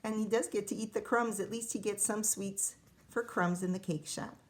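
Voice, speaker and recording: A woman with a mid-pitched voice reads a story aloud like a classroom teacher. She tells the rhymes expressively and steps out of them for casual asides, explaining things simply for children. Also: steady tone, moderate pace. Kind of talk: picture-book read-aloud with casual asides.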